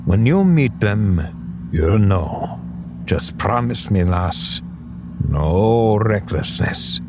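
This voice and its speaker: A man's voice: fairly low pitch, expressive, drawn-out words, background noise, full character voice. A man playing a grave, protective Irish second-in-command in an audio drama. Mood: grave, foreboding. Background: music.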